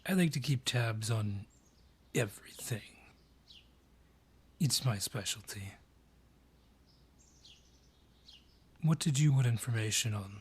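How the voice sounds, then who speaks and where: A man voices a menacing male dryad, lord of his forest, in a fantasy audio drama. The voice is husky and breathy, unhurried and deliberate, dripping with mockery and condescension. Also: medium pitch, expressive. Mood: smug, menacing. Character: haughty, sly male dryad ruling a forest, menacing and mocking. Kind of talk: fantasy audio roleplay acting.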